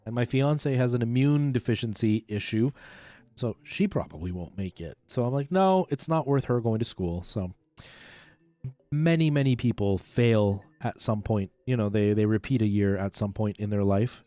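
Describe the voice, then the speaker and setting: A man's voice: fairly low pitch, moderate pace, mellow, no echo. A man, chatting casually as he streams a retro video game. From Canada. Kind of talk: casual chat while playing a retro game on a livestream. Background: faint game music.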